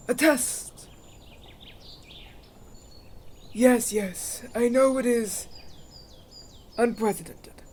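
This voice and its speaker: A man's voice: extremely high pitch, a little mellow, a little hiss or hum. A man playing a solemn, pious village elder in a fantasy audio roleplay, speaking in a formal, ceremonial manner. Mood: proclaiming. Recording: outdoor nature ambience with birdsong behind.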